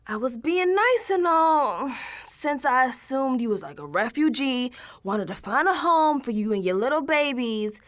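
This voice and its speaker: A woman's voice: on the high side, dry recording, exaggerated voice. An actress playing an exasperated, sassy young American woman in a colloquial radio drama monologue, slangy and confessional. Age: young adult. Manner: slangy, sassy, animated, sighing asides.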